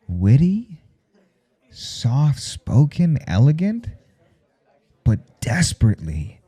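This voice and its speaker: A man playing a blunt, intimate professor in an ASMR audio roleplay, speaking in a halting, confiding way with a teasing, domineering edge. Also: exaggerated voice. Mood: teasing, commanding. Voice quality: low, gravelly, soft and dark. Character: adult male professor, intimate, callous, earnest, teasingly domineering.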